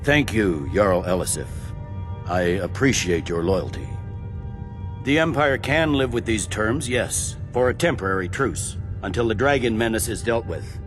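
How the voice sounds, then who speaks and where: An actor playing a pragmatic military general in a fantasy game, brisk, authoritative and sceptical. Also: male voice, lowish voice, lively intonation, music in the background. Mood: firm, threatening. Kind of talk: fantasy video game voice acting.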